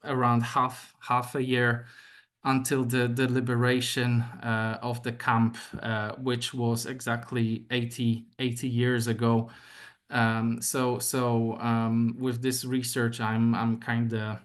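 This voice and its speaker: A young man with a Polish accent, a native Polish speaker, giving an online academic seminar talk with many pauses, fillers and repeated words. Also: mid-range voice, monotone. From Poland.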